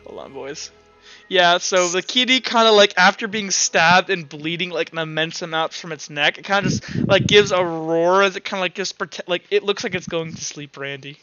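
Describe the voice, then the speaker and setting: Bright-voiced man running a tabletop game. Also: sits high, clean tone, dry recording. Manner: halting, informal, improvised narration. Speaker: game master. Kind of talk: narrating a tabletop roleplaying game, casual. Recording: dull lo-fi audio, music underneath. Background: background music.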